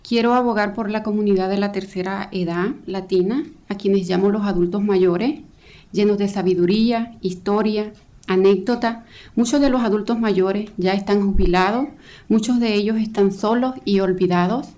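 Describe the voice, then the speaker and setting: A woman speaks to a council, earnest and a little hesitant, filling gaps with "eh" and restarting phrases. She talks quickly, at a middle pitch. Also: steady tone, dark tone. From El Salvador. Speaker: volunteer coordinator giving public testimony. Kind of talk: public testimony. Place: county council chamber.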